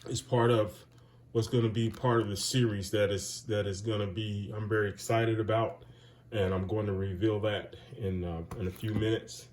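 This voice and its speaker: Older male collector-host, low-ish nasal voice with a cold, loose rambling delivery. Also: fairly even intonation, moderate pace, darkish tone, a little hiss or hum. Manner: rambling, with many fillers and restarts, calls viewers "guys". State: has a summer cold. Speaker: airgun collector and channel host. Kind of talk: casual product talk to camera.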